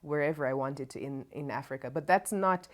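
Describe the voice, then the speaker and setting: A woman's voice: low-pitched, brisk. A Jamaican woman co-hosting a casual chat, talking freely and laughing easily. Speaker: co-host.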